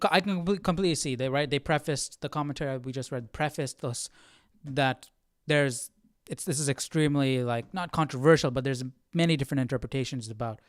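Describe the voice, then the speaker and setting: A man's voice: medium pitch, dry recording, studio quality. A man hosting a live study stream, talking casually and thinking aloud in a hesitant, musing way as he searches for words.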